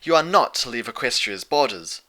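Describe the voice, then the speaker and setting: A man voicing a gentle but firm, stately pony princess in a fan fiction story, speaking in measured, formal phrases. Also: mid-range voice, sing-song. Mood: calm authority.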